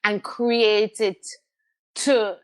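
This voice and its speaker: A woman's voice: mid-range voice, fairly even intonation, extremely slow, clean tone. A middle-aged woman, speaking as an interview guest in an emphatic, conversational way, repeating phrases for stress. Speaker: podcast guest, radio host.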